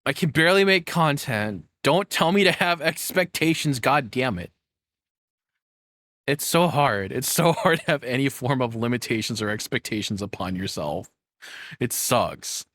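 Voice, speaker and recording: A man's voice: mid-range voice, lively intonation, moderate pace, a bit bright, dry recording. A man giving casual reaction commentary as a streamer, rambling candidly and self-deprecatingly, with casual swearing.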